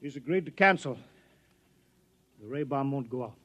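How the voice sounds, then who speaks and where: A man's voice: medium pitch, animated, normal speed, fairly bright tone. An actor playing a weary, terse man in command of a test project in a 1950s radio drama. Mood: calming. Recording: 1950s radio broadcast recording.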